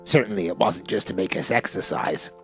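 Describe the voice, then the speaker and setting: A man's voice: low voice, fairly quick, a bit bright, faint music behind. A man hosting a gaming channel, reading out a visual novel and commenting as he plays. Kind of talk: let's play narration and commentary.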